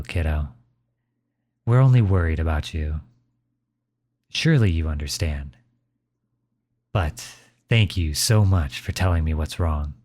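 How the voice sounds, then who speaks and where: A man acts out a middle-aged dad in an ASMR audio roleplay. His voice sits low and he takes his time with each phrase. The manner is tender and reassuring, that of a caring father comforting his child up close. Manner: tender, reassuring, softly chuckling. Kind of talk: ASMR roleplay, intimate acted monologue.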